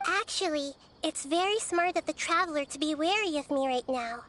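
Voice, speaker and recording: A woman playing a small childlike goddess in a video game, gentle, composed and articulate, explaining things in measured phrases. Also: high voice, animated. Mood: calm explaining. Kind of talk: video game character acting.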